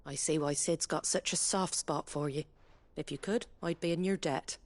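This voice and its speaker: An actress playing a plain-spoken, warm-hearted village landlady with a British accent in a fantasy video game, colloquial and matter-of-fact. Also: sits low, normal speed, close to an everyday voice. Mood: practical, hopeful.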